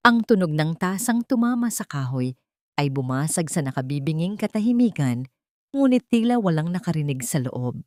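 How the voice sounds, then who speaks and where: A woman with a low-pitched voice narrates a scripted drama. Her delivery is solemn and suspenseful, and the sound is dry and close. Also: darkish tone, dry recording.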